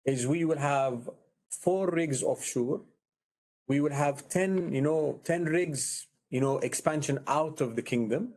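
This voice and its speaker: A man's voice: mid-range voice, darkish tone. A man with an Arabic accent answering questions as a company executive on an earnings call, often repeating phrases for emphasis.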